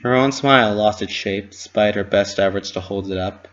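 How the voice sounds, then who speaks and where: A narrator giving an expressive, measured dramatic reading of a scripted story. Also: deep voice, highs cut off.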